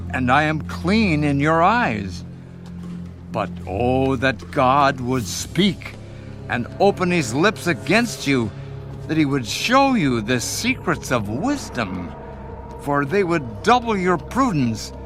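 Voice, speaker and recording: An actor playing a stern, self-righteous man rebuking his friend in a deliberate, rhetorical way in a dramatised scripture reading. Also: mid-range voice, big pitch swings, music in the background. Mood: stern rebuke.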